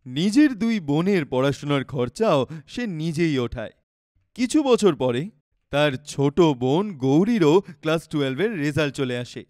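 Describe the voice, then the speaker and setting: Casting: male narrator for children's tales, fairly high voice, scripted storytelling, dry close recording. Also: darkish tone, no room sound.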